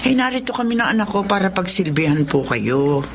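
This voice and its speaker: An actress with a Filipino accent playing a middle-aged, worried housekeeper in a radio drama, respectful and hesitant. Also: female voice, fairly low pitch, big pitch swings, a little fast, noisy background. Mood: concerned, eager to help. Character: deferential, worried housekeeper, mother of a grown daughter.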